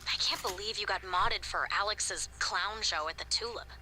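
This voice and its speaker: Blunt, assertive space-station woman, very crisp forceful tone, dry teasing, naturalistic video game log. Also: medium pitch, moderate pace, slight background noise, low-quality recording.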